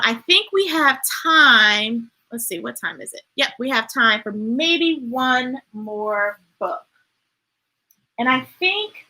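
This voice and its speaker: A woman hosting a children's storytime, reading aloud in an expressive, playful, emphatic way with chatty asides to viewers. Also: a little high, low-quality recording.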